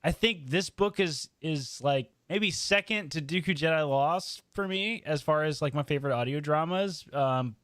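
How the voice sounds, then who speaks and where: Guy on a podcast panel, higher voice, dry close sound, super informal chat. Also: normal speed, hardly any pauses, a bit bright, no room sound. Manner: informal, peppered with "like". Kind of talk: casual chat, giving a review.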